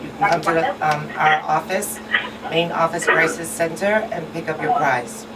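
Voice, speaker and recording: A woman's voice: deep voice, fairly even intonation, normal speed, recorded from a distance, very noisy. A woman in a radio studio casually giving a caller directions and details. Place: small radio studio, indoors. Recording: off-mic, loud voices behind.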